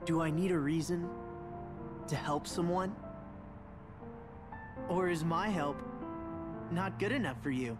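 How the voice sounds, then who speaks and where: A man's voice: on the high side, slow pace, pauses often. A man playing a plucky, stubbornly hopeful young video game hero, earnest and defiant. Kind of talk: dramatic video game voice acting. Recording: loud music behind the voice.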